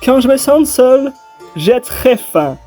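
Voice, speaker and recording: A theatrical male cartoon demon, played by a man, speaks in a raised, high voice. He is melodramatic and hungrily seductive, savoring each phrase as in an animated dub. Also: slow pace, soft background music, exaggerated voice. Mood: lustful, theatrical.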